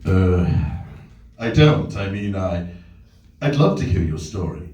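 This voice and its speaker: Nervous, tactless, lonely everyman, played by a man, slow apologetic comedy delivery, heard off-mic in a roomy space. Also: deep voice, very animated, mellow, slight background noise, full character voice. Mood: awkward eagerness. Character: nervous, awkward, lonely man desperate to please. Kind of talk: comedy screenplay table read. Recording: roomy, distant mic at live event.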